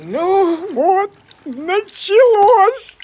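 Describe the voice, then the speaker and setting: A woman voicing an anxious, money-loving male farmer cat in an animated series, with a dramatic, exclamatory manner. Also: very high voice, drawn-out words, slight background noise, exaggerated voice. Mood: fretful.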